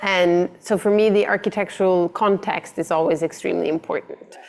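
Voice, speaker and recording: A woman's voice: on the low side, fairly even intonation, vocal fry. A woman designer who grew up in Italy, answering interview questions thoughtfully, easing in with fillers. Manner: thoughtful, slow to start, many fillers. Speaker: interior designer and architect guest.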